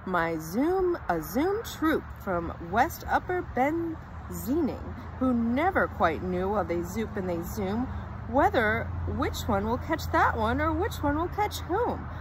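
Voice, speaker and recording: A woman's voice: fairly high pitch, big pitch swings, slow, background noise. A woman reading a rhyming children's story aloud with theatrical, dramatic emphasis.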